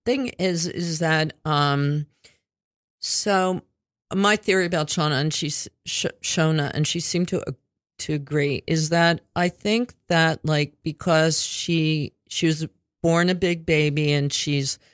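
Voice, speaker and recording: A woman's voice: sits low, steady tone, slow, no echo, studio quality. A woman hosting a casual community radio interview, rambling and chatty with offhand swearing. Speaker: radio show host.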